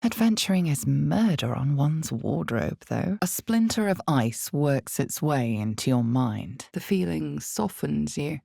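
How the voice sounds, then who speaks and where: A woman performing as an ominous storyteller in a dark fantasy game, narrating in a deliberate, suspenseful, theatrical way with breathy drops toward a whisper. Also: low voice, expressive, no room sound, clean studio sound, full character voice. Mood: hushed, wry. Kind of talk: dramatic second-person fantasy game narration.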